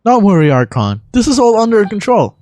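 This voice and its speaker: A man's voice: sits high, sing-song, fairly quick, a little mellow, no room sound. Man acting stammering, flustered young male architect. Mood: anxious. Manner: stammering, hesitant.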